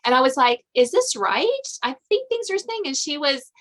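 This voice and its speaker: A woman's voice: high voice, very animated, normal speed, fairly bright tone. A woman hosting a podcast in a casual interview style, informal and often rephrasing her thoughts mid-sentence. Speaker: podcast host.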